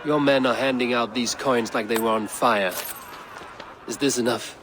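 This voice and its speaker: Male actor as a Viking archer, mid-pitched, stoic, terse, self-assured, game dialogue, faint street noise behind. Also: steady tone, normal speed, lightly acted. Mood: confident, terse. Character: confident, stoic Norse Viking warrior and archer. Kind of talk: video game cutscene acting.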